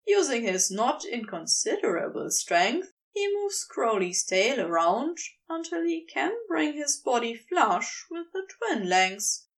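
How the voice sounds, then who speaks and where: Woman reading a story aloud, takes her time, higher voice with lots of melody, bit far from the mic. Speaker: fan fiction audiobook narrator. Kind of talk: scripted story narration, expressive and unhurried.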